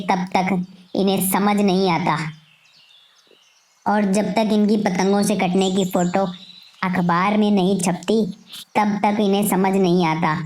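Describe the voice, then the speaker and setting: A woman voicing an indignant eagle, bitter about humans, in a deliberate scolding manner for an animated moral story. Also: mid-range voice, lightly acted.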